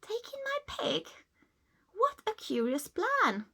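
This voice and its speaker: A woman's voice: very high-pitched, sing-song, slow pace, vocal fry, pushed and bright, pitched above the performer's own voice, full character voice. A woman voicing a distressed little old lady in a storybook, pleading and exasperated in a theatrical way. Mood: puzzled.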